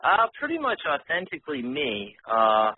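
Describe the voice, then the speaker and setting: Man, rough husky voice, informal radio guest, blunt casual talk, tinny narrow-band connection. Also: on the high side, huge pitch swings, moderate pace, dry recording. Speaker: interview guest, radio show host. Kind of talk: casual interview chat. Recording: tinny phone-like line.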